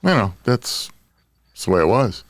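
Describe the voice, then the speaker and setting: A man's voice: on the low side, sing-song, moderate pace. An older man with an American accent, hosting a casual podcast panel chat. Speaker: podcast host.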